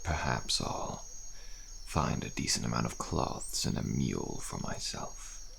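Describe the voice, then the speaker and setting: A man voicing a world-weary, bitter deserter soldier on the run in an audio roleplay, speaking in a gravelly, breathy murmur with halting, musing phrases. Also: extremely low pitch, lively intonation, fairly bright tone, slight background noise, voice pushed down, heavily acted. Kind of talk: audio roleplay, slow addressed monologue. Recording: faint outdoor night insect ambience.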